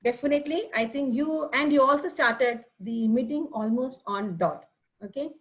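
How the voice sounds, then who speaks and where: A high-pitched woman, talking over a video call. She speaks in a chatty, encouraging way, with plenty of fillers as she praises each member. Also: normal speed, dull audio. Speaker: general evaluator at a speaking club meeting. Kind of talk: online club meeting evaluation.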